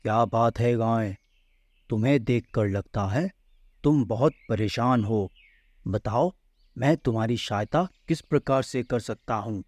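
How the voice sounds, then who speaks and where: A man voicing a kindly forest holy man in a children's cartoon story, speaking in a calm, reassuring way. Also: medium pitch, a little slow, dry recording, natural voice.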